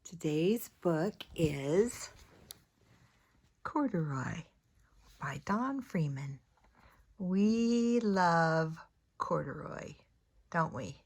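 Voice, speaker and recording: An older woman reading a children's picture book aloud, expressively, with pauses, as a grandmother talking to a young listener. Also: sits low, animated, darkish tone. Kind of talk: unhurried children's storybook read-aloud.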